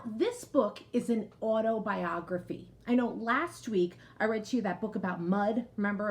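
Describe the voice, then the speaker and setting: A woman with a mid-range voice reads and teaches for young children. She talks like a schoolteacher at storytime, putting things in simple terms and checking that her listeners are keeping up. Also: mid-range voice. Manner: explains simply, with frequent checking "okay?" and recaps. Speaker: teacher reading a picture book aloud to children. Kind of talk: explanatory read-aloud lesson for young children.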